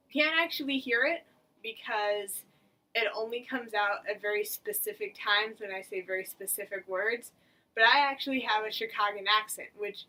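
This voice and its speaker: A woman's voice: a little high, sharp tone, far from the mic, low-quality recording. A young woman of about eighteen from the United States, talking casually to her camera as a vlogger in a chatty, rambling, self-mocking way. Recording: voice a little away from the mic.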